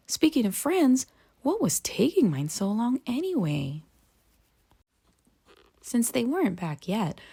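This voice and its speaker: A naive, wide-eyed little snowman, as a woman reader puts him on for a children's storybook read-aloud. He is cheerful and chatty, with big swoops in pitch and a husky edge. The sound is close and dry. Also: warm tone, no echo, heavily acted. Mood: delighted, then curious.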